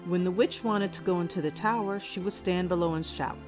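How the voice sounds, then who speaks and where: A woman reading a scripted children's fairy tale as a measured storyteller. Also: on the low side. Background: music.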